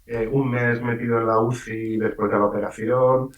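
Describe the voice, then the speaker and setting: Middle-aged Valencian-man, a novelist on radio, mid-pitched, lively teller of anecdotes, heard back in a roomy space. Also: moderate pace, recorded from a distance, dull audio. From Valencia region, Spain. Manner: lively storyteller, acts out voices in quotes. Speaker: writer being interviewed. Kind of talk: casual radio interview. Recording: roomy, off-mic radio sound.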